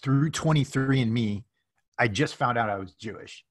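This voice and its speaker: A middle-aged man hosting a podcast interview, relaxed and friendly, often ending with "right?". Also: medium pitch, normal speed. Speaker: podcast host. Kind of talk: casual conversational interview. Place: home office. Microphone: video call.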